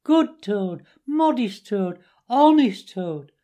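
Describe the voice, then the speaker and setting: High, squeaky, gloating weasel chief. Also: male voice, animated, slow pace, dark tone. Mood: mock-courteous, sneering. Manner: mock-courteous speechmaking. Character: gloating weasel chief, mocking after-dinner orator and singer.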